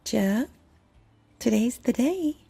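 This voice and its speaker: A woman's voice: fairly high pitch, lively intonation, slow, creaky, warm tone, breathy edge, soft background music, exaggerated voice. An actress playing a stern but caring mother of a teenage boy in a horror audio drama. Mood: cheery coaxing.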